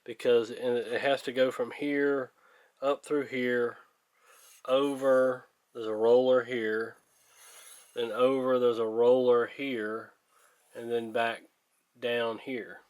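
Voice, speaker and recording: A man with a mid-range voice talks through hands-on repair work in a casual, plainspoken way. He thinks aloud in halting, folksy phrases. The sound is close and dry, with very little room echo. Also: medium pitch, level tone, a little mellow. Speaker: radio repair hobbyist and video host. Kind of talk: casual hands-on workshop commentary.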